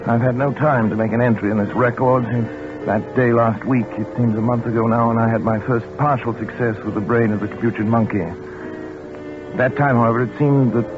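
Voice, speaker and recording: An actor playing a cold, obsessed scientist and surgeon in a 1940s radio drama, speaking in a clipped, imperious, detached manner. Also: male voice, mid-range voice, steady tone, fairly quick, close to an everyday voice. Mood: weary, grave. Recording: phone-like old radio broadcast with loud music.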